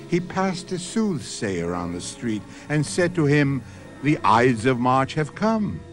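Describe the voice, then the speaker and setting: A male host of a radio mystery series giving solemn, measured scripted narration. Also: mid-range voice.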